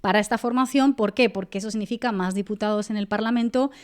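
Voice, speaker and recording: Clear-voiced Spanish woman explaining in detail. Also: medium pitch, fast, darkish tone. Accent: Castilian Spanish. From Spain, La Mancha. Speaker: guest journalist and political analyst. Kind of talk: conversational podcast interview, explanatory.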